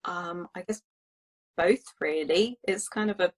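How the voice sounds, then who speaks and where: A woman's voice: on the low side, a little slow. A young woman from England with a Nottinghamshire accent, chatting casually as co-host of an English lesson and explaining her views through examples. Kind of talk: casual conversation.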